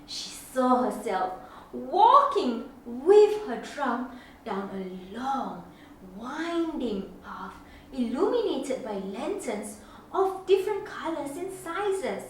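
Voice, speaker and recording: A woman reading a picture book aloud to children as a storyteller, with deliberate, dramatic phrasing and expressive pauses. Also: high voice. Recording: very echoey room, distant mic, faint noise.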